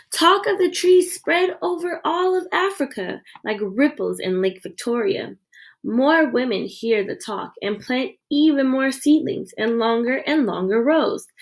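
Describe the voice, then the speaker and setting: A woman with a slightly high voice reads a picture book aloud. Her delivery is expressive storytelling, shaped for young listeners. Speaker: read-aloud reader.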